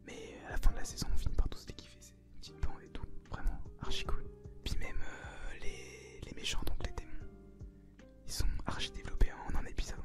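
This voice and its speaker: A young adult whispers a casual anime review as co-host, in informal youth slang. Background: music.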